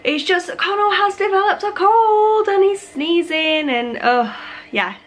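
A young woman in her twenties, slightly husky as she gets over a cold, chatting to camera in her living room with lots of fillers. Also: very high voice, measured pace, fairly bright tone. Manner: rambling, many fillers and restarts. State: recovering from a cold. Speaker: vlogger. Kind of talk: casual chat to camera. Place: living room at home, indoors. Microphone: camera on a tripod.

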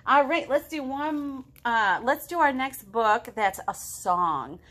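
A woman in her thirties reading aloud to young children as a storytime host, expressive and full of questions to draw them in. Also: high voice, slow pace. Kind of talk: animated picture-book read-aloud for young children. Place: living room at home.

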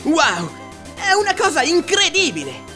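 Woman voicing an excitable, high-pitched boy trainer. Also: measured pace, heavily acted. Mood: amazed. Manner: exclamatory, dramatic. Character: excitable young boy trainer, cocky and naive. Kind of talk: Italian fan-dub cartoon acting. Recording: music under the voice.

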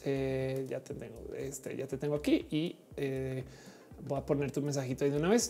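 A woman's voice: low-pitched, dark tone. A woman in her forties hosting a livestream, reading viewers' messages in a chatty, playful way with lots of fillers. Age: 40s. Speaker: livestream host reading chat. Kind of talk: casual live chat.

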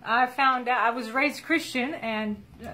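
A woman's voice: a little high, measured pace, bright, forceful tone. A woman in her forties from Egypt, a guest telling her story in casual chat, earnest, with frequent restarts. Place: small indoor room with a wall chart.

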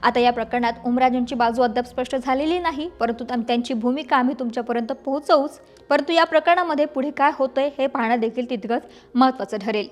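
A woman news presenter delivers a scripted report. Her voice sits clearly high as she narrates the story. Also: fast talker.

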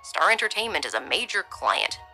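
A woman voicing a tired middle-aged male security chief who speaks low and half-whispered. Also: sing-song, moderate pace, sharp tone, faint music behind, heavily acted. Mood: weary.

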